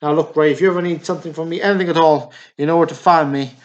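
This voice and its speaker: A man playing an excitable, needy, sentimental small-town in a stage drama, with a pleading, emphatic manner. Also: fairly high pitch, very fast, highs cut off, natural voice. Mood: pleading, effusive.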